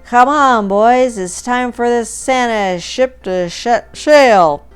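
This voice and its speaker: A woman acts out a tipsy farmer dad from a comic storybook, loud and pitched up. He slurs as he tries to keep an air of command. Music plays behind him. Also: slow, smooth. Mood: drunken, commanding.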